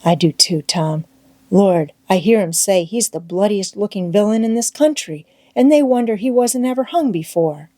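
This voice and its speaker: A woman's voice: medium pitch, moderate pace, darkish tone, dry recording, studio quality, natural voice. A woman voicing a plain-spoken, kindly vagabond boy in an audiobook, with emphatic, drawling rustic dialect. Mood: uneasy.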